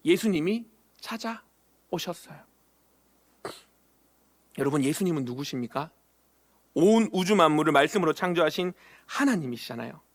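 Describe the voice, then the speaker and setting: A man's voice: a little high, expressive, normal speed, pauses often. A man preaching a sermon as pastor of a church, often asking the congregation questions and acting out short quoted lines. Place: church sanctuary.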